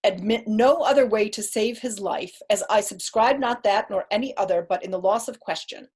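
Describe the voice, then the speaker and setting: Husky, cold calculating judge played by a woman. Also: mid-range voice, normal speed, darkish tone, close to an everyday voice. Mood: calculating, insistent. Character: cold, calculating male deputy judge, coercive and insinuating. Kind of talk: Shakespearean staged reading.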